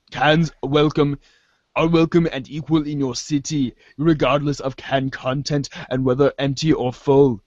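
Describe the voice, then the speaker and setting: A man's voice: a little high, dry recording, highs cut off. A man reading scripted narration for an amateur reader's theater show, performing a webcomic aloud.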